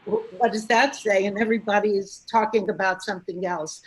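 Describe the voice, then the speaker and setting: A woman taking part in a webinar over a video call, halting and repeating words as she talks. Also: mid-range voice, steady tone, poor audio. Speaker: webinar participant. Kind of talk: casual discussion on a video call.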